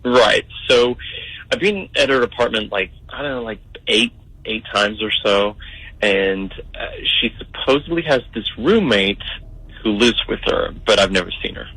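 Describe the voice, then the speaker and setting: Young guy calling a radio show, kinda low voice, lots of ums and likes as he rambles on. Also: normal speed, sharp tone, slightly rough, poor audio. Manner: hesitant, rambling, lots of fillers. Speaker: caller. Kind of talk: telling a story on a radio call-in.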